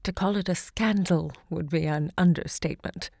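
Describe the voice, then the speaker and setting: An actress playing an elderly American woman writer and literary hostess in an audio drama, speaking in a gracious, deliberate, theatrical way. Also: fairly low pitch, vocal fry, a little mellow, no echo, clean studio sound. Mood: reflective, theatrical. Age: older.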